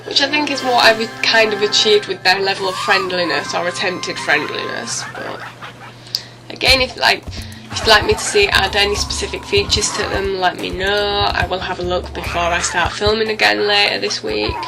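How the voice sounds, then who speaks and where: A woman hosts a let's play in a noticeably creaky voice, still getting over a cold. Her commentary is casual and rambling, apologetic and self-correcting. Music plays clearly behind her. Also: medium pitch, moderate pace, poor audio. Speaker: gaming video host.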